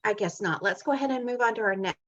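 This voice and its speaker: A woman's voice: mid-range voice, fast talker, a bit bright, highs cut off. A woman co-hosting a group call, checking who has joined and chatting with guests. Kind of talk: casual chat. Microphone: video call.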